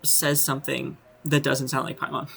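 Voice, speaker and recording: A woman's voice: a bass voice, level tone, normal speed, gravelly, over loud music. A woman giving casual, informal, sometimes sweary commentary as she plays through a game. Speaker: game streamer.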